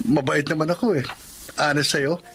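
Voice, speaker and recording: A man's voice: on the high side, steady tone, moderate pace, very noisy, natural voice. A man with a Filipino accent acting an honest, devoted fiancé in a radio romance. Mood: earnest. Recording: music behind the voice.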